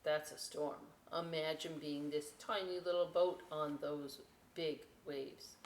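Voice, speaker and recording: A woman hosting a children's storytime, reading and chatting informally in an expressive, emphatic way. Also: low voice, slight background noise. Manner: expressive, emphatic, unhurried delivery. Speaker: library storytime host. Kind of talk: children's read-aloud with informal asides. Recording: echoey room.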